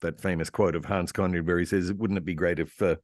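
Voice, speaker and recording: Male panel guest, deep dry sound, conversational expert who circles and repeats as he talks. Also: steady tone, fast, no room sound. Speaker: panel guest, radio historian. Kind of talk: casual informed discussion of old radio.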